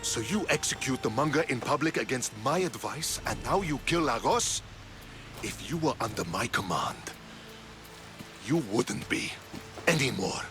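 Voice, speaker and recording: A man voicing a stern, honourable Spartan military commander in a video game, with a gravelly voice. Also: mid-range voice, expressive, moderate pace, lightly acted. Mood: angry. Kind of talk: dramatic video game dialogue. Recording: game soundtrack music behind the voice. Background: music.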